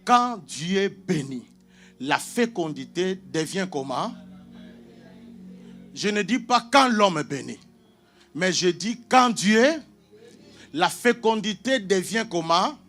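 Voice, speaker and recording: A man's voice: high-pitched, expressive, slow pace, long pauses, sharp tone. Guy preaching in a church hall, lots of amens, calls out to the crowd, faint music behind. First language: Portuguese. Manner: emphatic call-and-response with frequent amens. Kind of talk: sermon.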